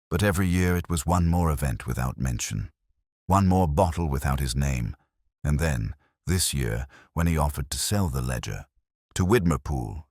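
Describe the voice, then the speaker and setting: Guy doing a tired old widow, super low husky voice, soft and drained, dry sound. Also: no echo. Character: older widow, quiet and worn down.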